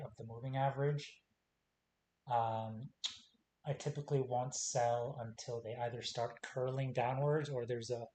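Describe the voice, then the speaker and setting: A man talking casually through stock charts in short, clipped calls, his voice going hoarse as he says he is losing it. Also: mid-range voice. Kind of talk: casual screen-share chart commentary. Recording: faint hiss.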